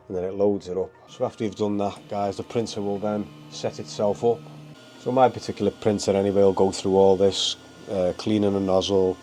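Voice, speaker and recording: Stuffy man with a cold, low flat voice, mellow, rambling with ums, casual hobby host, faint music under. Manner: rambling, full of ums and uhs, keeps saying guys. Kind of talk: casual how-to narration.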